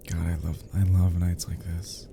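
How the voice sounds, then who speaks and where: A man playing an affectionate, flirty close friend in an intimate romantic roleplay, speaking in a soft, teasing, breathy murmur with hushed pauses. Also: sits low, a little hiss or hum, full character voice.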